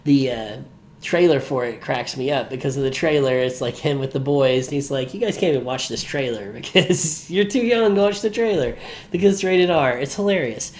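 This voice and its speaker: Mid-pitched man chatting casually about movies. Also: lively intonation, a little fast. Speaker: podcast co-host. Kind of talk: casual opinionated movie chat.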